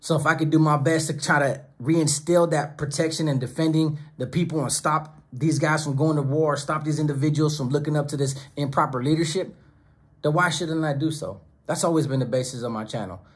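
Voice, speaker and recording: A man's voice: on the high side, steady tone, fairly quick, husky. A middle-aged man hosting his own channel, talking straight to the camera from a small home studio in an emphatic, preachy way, often stacking phrases in lists. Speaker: YouTube channel host. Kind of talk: direct-to-camera commentary. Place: small home studio room with monitors and speakers. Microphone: close camera, seated at desk.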